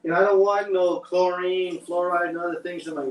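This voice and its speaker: High-voiced man explaining in a roomy room. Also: little pitch movement, moderate pace, far from the mic. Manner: laid-back, explains step by step. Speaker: co-host demonstrating a test. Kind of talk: casual explanatory demo. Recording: roomy sound from away from the mic.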